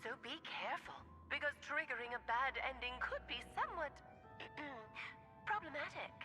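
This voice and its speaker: Teasing mage, lively high voice, theatrical, clear game music behind. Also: female voice, normal speed. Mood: playful. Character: playful adult female mage, teasing storyteller. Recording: game voice over loud music.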